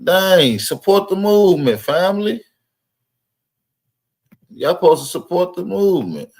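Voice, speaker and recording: A man's voice: sits high, sing-song, measured pace, a little mellow. A man hosting a reaction livestream, talking straight to viewers in a casual, hyped way. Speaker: host of a reaction channel.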